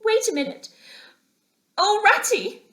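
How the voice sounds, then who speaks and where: A woman's voice: very high voice, expressive, a bit bright, roomy sound, voice pushed up, full character voice. Woman voicing an eager, homely little mole. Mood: despairing. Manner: proud and nostalgic, quick to fret. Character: eager male mole, homely, sentimental, easily flustered. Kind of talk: children's storybook character voice.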